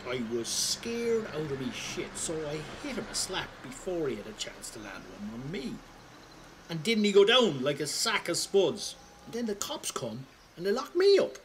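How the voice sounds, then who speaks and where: Crusty, animated older Irish father. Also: fairly high pitch, moderate pace, slight background noise, low-quality recording. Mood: wry, reminiscing. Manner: rambling, colloquial, salty storytelling. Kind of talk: conversational audio drama monologue.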